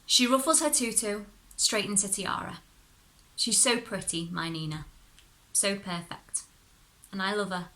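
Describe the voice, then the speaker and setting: A woman reading a short story aloud as expressive narration, with dramatic, deliberate phrasing. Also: mid-range voice, rough recording. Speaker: story reader.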